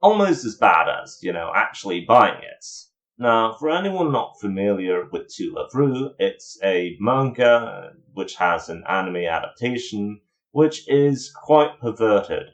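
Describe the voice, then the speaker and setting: A man's voice: on the low side, animated, a little slow. A man giving casual review commentary as a video reviewer, with dry, sarcastic asides.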